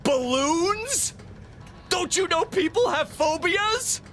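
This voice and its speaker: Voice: very high voice, expressive, slow, fairly bright tone, a little hiss or hum, exaggerated voice. An actor playing a jittery, neurotic sea townsperson in a cartoon video game, with a blunt, exasperated delivery. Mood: nervous. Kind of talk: animated video game voice acting. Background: game music.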